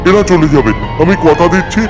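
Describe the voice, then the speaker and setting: A man's voice: on the high side, expressive, brisk, lightly acted. Man voicing a loyal caretaker. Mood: pleading. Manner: pleading and protective. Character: loyal male caretaker guarding the guests. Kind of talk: dubbed cartoon acting. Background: loud music.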